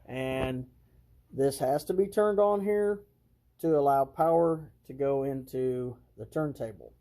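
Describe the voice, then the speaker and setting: Mellow-voiced man giving a casual hobby demo. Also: mid-range voice. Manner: halting, thinking aloud while working. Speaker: hobbyist presenter. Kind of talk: casual hands-on model train demonstration.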